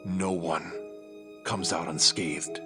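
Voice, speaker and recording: A man acting a cold, commanding crime boss in an audio drama, measured and imperious. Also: low voice, level tone, music in the background. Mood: probing, ominous. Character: cold, commanding male former syndicate boss.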